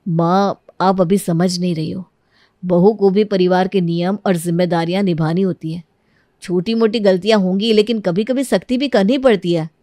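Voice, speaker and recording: A woman's voice: fairly low pitch, steady tone, normal speed, warm tone, no room sound, studio quality, close to an everyday voice. A woman voicing a practical, patient grown son talking to his mother in a moral storybook tale. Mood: patient, amused. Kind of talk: animated moral storybook dialogue.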